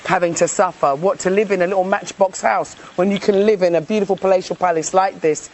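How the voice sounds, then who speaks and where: A woman's voice: fairly low pitch, fairly even intonation, fairly quick, darkish tone, a little hiss or hum. A woman from England, interviewed in casual chat, speaking emphatically, repeating her points and laughing readily.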